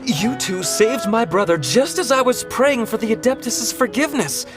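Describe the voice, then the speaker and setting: A man's voice: very high-pitched, expressive, normal speed. A man voicing a humble, grateful poor young man in a video game, earnest and deferential. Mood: overjoyed, relieved. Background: game music.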